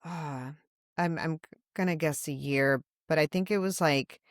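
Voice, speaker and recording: A woman's voice: low-pitched, unhurried, no room sound, studio quality. A woman in her forties from Puerto Rico, co-hosting a podcast in a chatty, rambling way that corrects itself as she goes. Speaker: podcast co-host. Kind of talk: casual interview chat.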